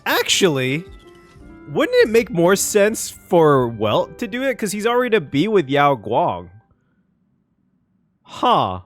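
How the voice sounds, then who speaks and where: Very animated man reacting casually. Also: fairly high pitch, takes it slowly, pauses often, dry recording, soft background music. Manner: animated, expressive. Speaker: streamer reacting to a game. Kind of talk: casual live reaction commentary.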